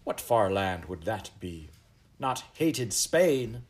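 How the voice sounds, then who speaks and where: A man's voice: on the low side, sing-song, takes it slowly, a little mellow. A man voicing a haughty, vain middle-aged Elizabethan queen with grand, archaic, commanding speech in a storybook reading. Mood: indignant, imperious.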